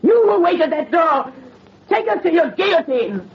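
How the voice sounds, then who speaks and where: Anguished, high-pitched young aristocrat. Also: male voice, big pitch swings, moderate pace, gravelly, exaggerated voice. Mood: anguished defiance. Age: young adult. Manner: impassioned, melodramatic, archaic theatrical speech. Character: anguished, defiant young French aristocrat son. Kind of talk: old-time radio horror drama acting. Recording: muffled, noisy old radio recording.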